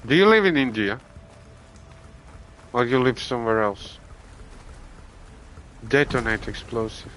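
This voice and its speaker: Slow-talking Latvian man streaming a game. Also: medium pitch, lively intonation, fairly bright tone, slight background noise, poor audio. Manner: halting, many restarts and fillers. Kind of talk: casual gameplay chat with viewers. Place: home gaming setup.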